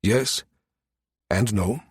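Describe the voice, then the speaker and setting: A man voicing a firm male space station security chief in an audiobook, measured and matter-of-fact. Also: on the low side, breathy edge, no echo, clean studio sound, heavily acted. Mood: firm, explanatory. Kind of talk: audiobook character dialogue.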